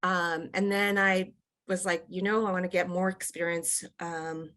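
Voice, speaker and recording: A woman's voice: lowish voice, steady tone, measured pace, a bit bright. A middle-aged woman from the United States, a company executive chatting casually as an interview guest, with lots of fillers and restarts. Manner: chatty, many fillers and restarts, laughs often.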